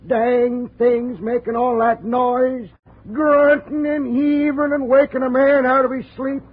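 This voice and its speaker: A man's voice: extremely high pitch, level tone, slow, slightly rough, exaggerated voice. An actor playing a cranky, folksy old backwoods man with a rural American accent in a 1950s radio drama, blunt and given to repeating himself. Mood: cranky, emphatic. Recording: muffled old radio recording.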